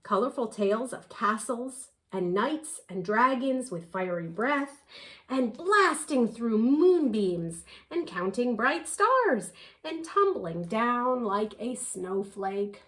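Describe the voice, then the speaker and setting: A woman reads a picture book to children in a high, lively voice. She takes her time and gives words dramatic emphasis, storyteller style. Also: low-quality recording. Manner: animated, unhurried, dramatic emphasis. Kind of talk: expressive picture-book read-aloud.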